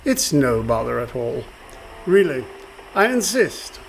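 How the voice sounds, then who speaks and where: An actor playing a polite, smoothly authoritative navy captain in a comedic radio play, with background noise. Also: male voice, medium pitch, big pitch swings, slow, exaggerated voice. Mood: courteous, insistent.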